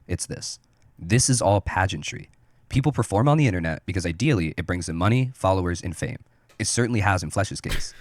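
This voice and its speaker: A man giving scripted commentary to close out an online video, explaining in a measured way. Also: sits low, no room sound. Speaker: video narrator.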